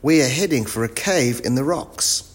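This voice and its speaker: A man voicing a lively, anxious schoolchild in a storybook adventure, calling out earnestly. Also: medium pitch, unhurried, breathy edge, big-room sound, voice pushed up. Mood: urgent.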